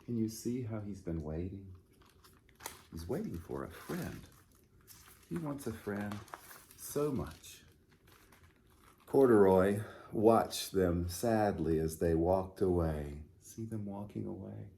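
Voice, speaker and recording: Male storytime pastor, lowish pitch, very slow delivery, simple questioning phrasing for small children. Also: lively intonation, creaky, dark tone. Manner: simple, unhurried phrasing with questions to young listeners. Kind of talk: gentle storytime talk and picture-book reading for children.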